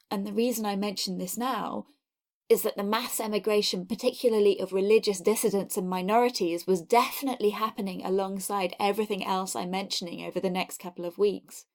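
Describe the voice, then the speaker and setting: A woman hosts a history podcast with a mid-range voice. She reads from a script but keeps it chatty and enthusiastic, the way a storyteller would. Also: mid-range voice. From not Scotland.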